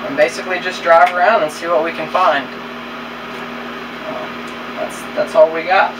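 A man's voice: on the high side, level tone, normal speed, background noise. A man in his thirties chatting casually in a travel vlog, easygoing and rambling with fillers, filmed in a small camper and on a trail. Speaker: travel vlogger. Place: inside a small camper, then outdoors on a hill trail.

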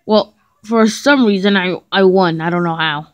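A woman's voice: on the low side, moderate pace, lo-fi sound, lightly acted. A woman voicing a smug, possessive male animatronic rabbit in a casual, sarcastic improvised skit. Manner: casual and sarcastic, drops to mutters. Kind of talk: improvised toy comedy skit.

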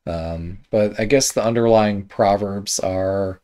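A man reviewing a board game, thinking aloud in a hesitant, musing way with many pauses and trailing thoughts, with a slight Midwest American accent. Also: on the low side, dark tone. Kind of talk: casual game commentary while thinking aloud.

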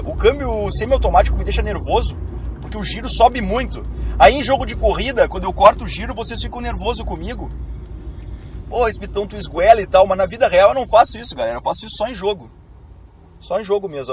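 A young man with a southern Brazilian gaucho accent, a bit hoarse from a cold, chatting to viewers while driving through city traffic in a car. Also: high-pitched, darkish tone. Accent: southern Brazilian, Rio Grande do Sul. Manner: chatty, addresses viewers directly. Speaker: YouTuber. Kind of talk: casual driving vlog chat. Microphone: camera mounted on dashboard.

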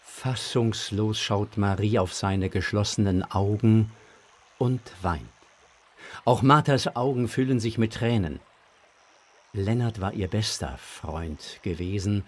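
A man's voice: fairly low pitch, takes it slowly, husky. A man narrating a scripted audio drama for children.

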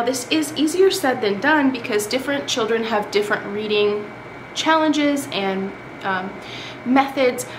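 A woman in her thirties talks straight to a close camera, practical and friendly, with a slightly high voice. Her living room sounds roomy, and steady noise runs underneath. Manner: friendly, practical, gestures with her hands while talking. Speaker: homeschooling mother and vlogger. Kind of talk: casual tips to camera. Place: living room of a home, indoors. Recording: echoey room with background noise.

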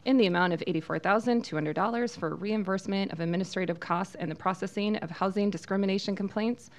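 Brisk woman clerk reading an agenda. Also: medium pitch. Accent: American Midwest. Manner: brisk, matter-of-fact reading. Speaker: city clerk. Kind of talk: reading agenda items and roll call. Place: large city council chamber. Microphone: desk microphone.

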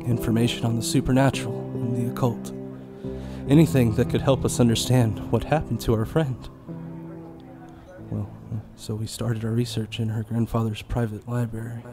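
A man's voice: mid-range voice, flat delivery, normal speed, natural voice. Man playing an earnest, uneasy young man, music behind. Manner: earnest, hesitant. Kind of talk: audio drama acting.

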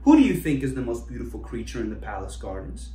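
A man's voice: mid-range voice, wildly swooping pitch, fast, mellow. A man voicing a vain, haughty male peacock in a storybook, with a pompous, taunting manner. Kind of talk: storybook read-aloud. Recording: roomy, distant mic, faint noise.

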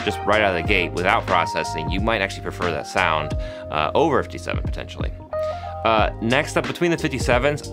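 A man in his thirties with a low, nasal voice talks easily to camera, dotting his sentences with fillers. He sits close to a studio mic in a small home room. A clear music bed plays under him. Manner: relaxed, chatty, with frequent fillers. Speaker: video host testing microphones. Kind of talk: casual gear review to camera. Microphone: studio microphones close in front.

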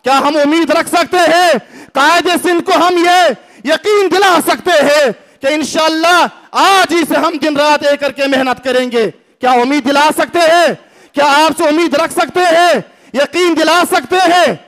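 A middle-aged man with a Pakistani Urdu accent, giving an impassioned speech at a lectern in a packed hall, rising to shouts and rhetorical questions. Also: very high voice, measured pace. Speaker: religious party speaker. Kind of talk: fiery rally speech. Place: large packed hall, indoors. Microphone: lectern mic.

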